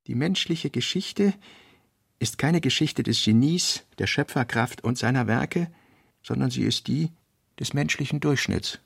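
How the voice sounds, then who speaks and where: Male narrator, scripted literary reading, gravelly, dry close sound. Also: mid-range voice, measured pace, dry recording.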